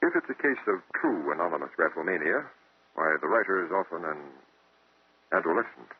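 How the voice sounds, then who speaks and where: An actor playing a pedantic, self-assured, somewhat evasive psychiatrist in an old radio drama. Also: male voice, low-pitched, expressive, fairly quick, slightly rough. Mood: clinical, self-assured. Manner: hesitant, then clinical and lecturing. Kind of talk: 1940s radio acting. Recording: old tinny radio recording.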